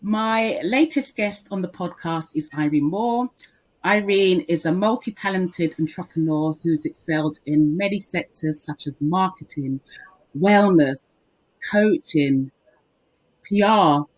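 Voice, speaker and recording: Lady hosting a podcast, upbeat intros, lower voice, asks lots of questions. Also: measured pace, rough recording. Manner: warm, enthusiastic introductions. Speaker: podcast host.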